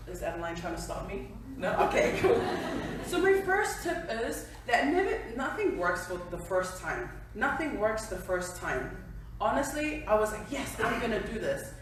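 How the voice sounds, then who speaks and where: A young woman from New Zealand shares her story before a crowd, chatty and quick to laugh at herself. Her voice bounces around a big echoey hall and sounds as if it was picked up from well back. A faint hum of room noise sits underneath. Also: medium pitch, recorded from a distance. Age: 20s. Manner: chatty, laughing, self-deprecating. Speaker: speaker at a company event. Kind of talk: personal story speech to an audience.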